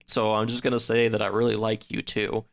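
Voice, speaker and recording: A man in a casual podcast chat, recapping a story in a halting, rambling way full of filler words. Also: lowish voice, monotone, creaky. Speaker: podcast guest.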